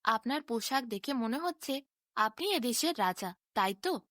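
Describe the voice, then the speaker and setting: A woman's voice: fairly high pitch, expressive, normal speed, fairly bright tone, no room sound, clean studio sound. A woman voicing a humble, selfless young shepherd boy in a fairy tale, polite and questioning. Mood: polite, curious.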